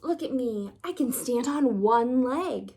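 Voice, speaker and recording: A playful five-year-old penguin big brother, put on by a woman, high in pitch and very lively. He talks assertively and a little bossily, in children's storybook style. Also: slow pace, husky, full character voice. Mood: showing off. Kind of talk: children's storybook read-aloud.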